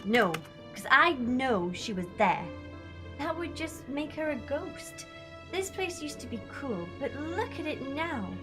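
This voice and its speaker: A woman's voice: high-pitched, very animated, unhurried, music in the background. A woman playing an outspoken, reproachful young companion in a sci-fi audio drama. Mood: reproachful, sad. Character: young female companion, outspoken, morally indignant.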